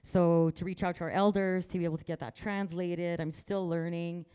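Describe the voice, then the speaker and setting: A woman's voice: on the low side, flat delivery, moderate pace, warm tone, clean tone. A woman artist from rural Manitoba talking casually about her work in an interview, outspoken and proud, with frequent restarts. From rural Manitoba, Canada.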